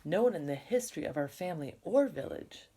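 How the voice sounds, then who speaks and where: A woman's voice: lowish voice, expressive, a little fast, dark tone, husky. A woman voicing a friendly villager guiding travelers in a storybook, explaining matter-of-factly. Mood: informative.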